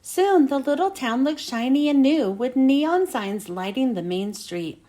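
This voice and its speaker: A woman reads a children's story aloud. Her voice is high and swings up and down a lot, with dramatic emphasis on the storytelling. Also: expressive, unhurried, dark tone. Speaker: children's book reader.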